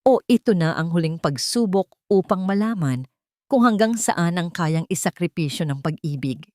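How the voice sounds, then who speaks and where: A woman's voice: lowish voice, expressive, moderate pace, darkish tone, dry recording, clean studio sound. A woman reading scripted, dramatic narration as a storyteller.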